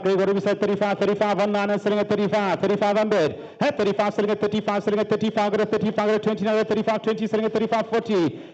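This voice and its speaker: Fast-chanting auctioneer. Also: male voice, sits high, a little mellow, muffled recording. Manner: rhythmic repetitive bid calling. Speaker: livestock auctioneer. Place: livestock sale ring.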